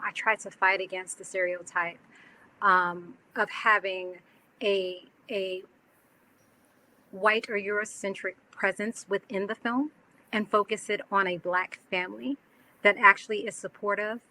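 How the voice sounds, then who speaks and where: A woman filmmaker on a video-call panel, speaking thoughtfully with halting restarts. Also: mid-range voice, pushed and bright, slight background noise, low-quality recording. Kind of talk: panel discussion.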